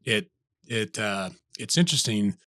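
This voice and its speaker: A man's voice: on the low side, expressive, a little slow, sharp tone, dry recording. Polished male podcast host, dry sound. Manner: polished, enthusiastic introductions. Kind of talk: podcast interview and intro.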